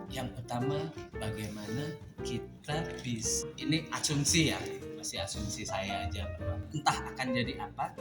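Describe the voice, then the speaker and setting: A man on a mime panel speaks in a reflective, hesitant way, often pausing to restart his thoughts. His voice sits at an ordinary middle pitch. Music plays clearly underneath him. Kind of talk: casual panel discussion.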